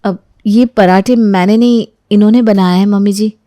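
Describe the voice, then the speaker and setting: A woman's voice: mid-range voice, steady tone, moderate pace, dark tone, dry recording, lightly acted. A woman voicing a frank, friendly young daughter-in-law in a dramatized story. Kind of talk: dramatized Hindi moral story acting.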